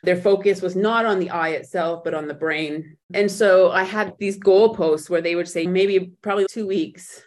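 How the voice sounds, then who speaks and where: A woman's voice: lowish voice, steady tone. Reflective woman telling her own story. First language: not German; speaks in English. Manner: reflective, pauses and restarts as she searches for words. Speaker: podcast guest. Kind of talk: personal storytelling in an interview.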